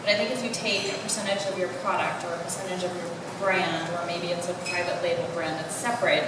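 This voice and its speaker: A woman design consultant speaking in a measured, thoughtful way. She is heard from a distance, with long reverb in a noisy hall. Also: lowish voice. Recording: echoey hall from far off, noisy.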